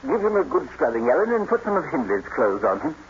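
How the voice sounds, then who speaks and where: A man's voice: fairly high pitch, big pitch swings, fast, creaky. An actor playing a kindly but firm father and master of an estate in a 1950s radio drama, with a hesitant, paternal manner. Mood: reassuring. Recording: muffled, noisy old radio broadcast.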